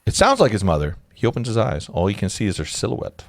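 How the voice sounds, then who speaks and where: A man's voice: fairly low pitch, huge pitch swings, fast, vocal fry. A man in his forties reading stage directions aloud informally, tossing in his own asides. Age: 40s. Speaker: narrator of a script read-through.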